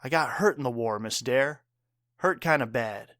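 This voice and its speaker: A man's voice: mid-range voice, moderate pace, a bit bright, no echo, clean studio sound, natural voice. An actor playing a proud, wounded war veteran father and bartender in an audio drama, speaking earnestly and tersely. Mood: pained, proud.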